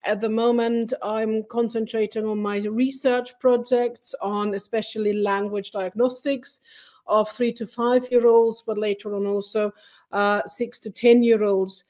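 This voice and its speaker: A woman's voice: a little high, little pitch movement, measured pace, a little mellow. A middle-aged woman, a teacher educator, presenting a webinar from her computer mic in a friendly, explanatory way with fillers. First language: English.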